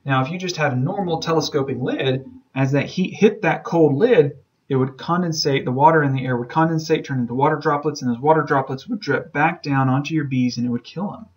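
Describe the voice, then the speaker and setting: A man's voice: on the high side, brisk, dull audio. A man from the southern United States giving an informal slide lecture as an instructor, explaining things in a casual, enthusiastic manner. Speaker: beekeeping instructor.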